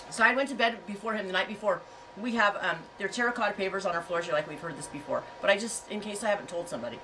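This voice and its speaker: A woman's voice: mid-range voice, bright, forceful tone, recorded from a distance, a little hiss or hum, low-quality recording. A woman on her farm vlog, chatting and rambling to her viewers with a stuffy head cold, laughing often. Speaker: yarn and fiber farm vlogger. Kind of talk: casual storytelling to viewers. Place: home on a farm.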